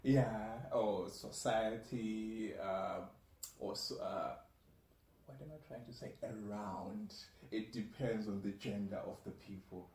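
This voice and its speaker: A man's voice: fairly low pitch, slow, darkish tone. A man hosting a casual interview show in an upbeat, chatty way, often laughing. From Africa. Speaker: show host. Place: room at a wooden table. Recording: roomy, slightly distant mic.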